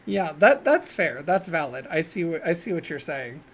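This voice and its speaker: A man with a higher-pitched voice co-hosting a podcast in casual chat over a video call, rambling and restarting his sentences. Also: sing-song, normal speed, a little hiss or hum. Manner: rambling, self-interrupting, with repeated fillers. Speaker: podcast co-host.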